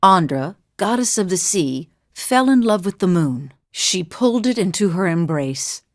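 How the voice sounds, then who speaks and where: Wry, very expressive adventuring priestess. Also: female voice, lowish voice, unhurried, dry recording, studio quality. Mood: soft, solemn. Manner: playful, wry banter and battle shouts. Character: wry, warm-hearted adventuring priestess of a sea goddess. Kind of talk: video game companion voice lines.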